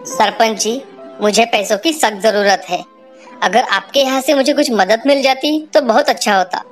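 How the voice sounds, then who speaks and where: A woman's voice: fairly high pitch, normal speed, natural voice. Woman as a worried, humble village father. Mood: pleading. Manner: earnest, pleading. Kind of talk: cartoon moral-story dialogue. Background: music.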